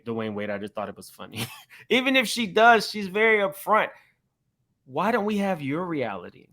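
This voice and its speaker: A man giving gossip commentary as he reacts to clips, spelling out his points in a measured, deliberate way. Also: on the high side, bright, forceful tone.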